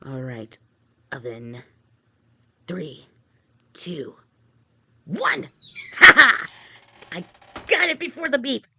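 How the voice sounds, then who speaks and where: A woman's voice: on the low side, huge pitch swings, slow pace, long pauses, sharp tone, slight background noise, heavily acted. A woman voicing a doting adult female lamia girlfriend in a listener-directed audio roleplay, teasing with pet names and stammering when flustered. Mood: cheerful, doting. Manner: stammers and rambles when nervous. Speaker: voice actor.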